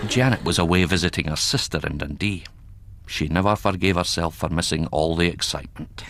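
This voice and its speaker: An actor playing a wry older country doctor with a Scottish accent, telling the story unhurriedly in a radio drama. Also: male voice, deep voice, a little fast, background noise. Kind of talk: radio drama narration and dialogue.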